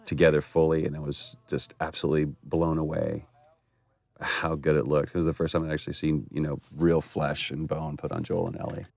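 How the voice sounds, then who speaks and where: A man's voice: very deep voice, level tone, brisk, gravelly, a little mellow, dry recording. A man, an actor, giving casual, reflective and appreciative audio commentary on his game.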